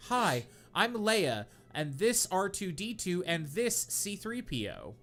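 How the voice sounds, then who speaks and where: A man's voice: high-pitched, wildly swooping pitch, takes it slowly, fairly bright tone, pitched above the performer's own voice, heavily acted. A man voicing a young princess in captivity, worried and overdramatic. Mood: chirpy.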